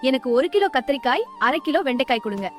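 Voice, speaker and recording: A woman playing a friendly village market shopper in an animated moral story. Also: high voice, rapid-fire, faint music behind. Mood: pleased, admiring.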